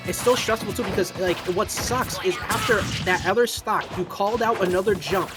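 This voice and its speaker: A lively esports caster gives rapid live commentary on a fighting game match. The voice climbs and gets squeaky whenever the play turns exciting. Loud game music plays steadily behind. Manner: voice turns squeaky when hyped.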